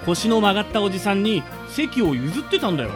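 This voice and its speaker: Brief: male actor for an excitable, gossipy hand strap in comic radio drama; high voice, swooping intonation. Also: fast talker, over music. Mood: eager, gossipy. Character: friendly chatty male train hand strap, excitable senior colleague.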